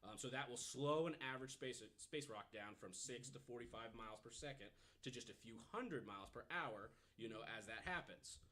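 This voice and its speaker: A man with an average-pitched voice, recorded with faint noise and clicks behind him. He explains things in a loose, conversational storytelling way, often padding with "you know". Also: animated, moderate pace, hardly any pauses, fairly bright tone. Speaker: podcast panelist.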